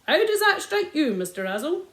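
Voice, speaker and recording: A woman's voice: high-pitched, very animated, moderate pace, a bit bright, voice pushed up, heavily acted. A woman voicing a jovial, old-fashioned country police sergeant who drops his h's. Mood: hearty. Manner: dropped h's, rustic dialect. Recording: roomy echo.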